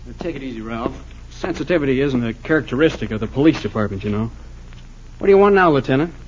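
An actor playing a cool, composed American heir, the calmer brother, faintly sardonic, in an old radio drama. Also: male voice, medium pitch, fast, a little hiss or hum, close to an everyday voice. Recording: muffled 1940s-50s radio recording.

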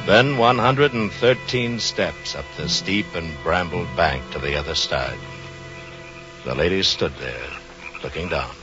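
An actor playing the grave, measured narrator of an old radio suspense drama, telling the tale dramatically. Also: male voice, sits low. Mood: grave, suspenseful. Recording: dull old radio recording, music behind.

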